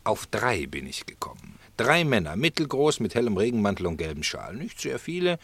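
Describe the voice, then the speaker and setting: An actor playing a sly, ironic snack bar regular who teases and insinuates, in a German radio drama. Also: male voice, on the low side, sing-song, a little fast, fairly bright tone, no echo, exaggerated voice. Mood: insinuating.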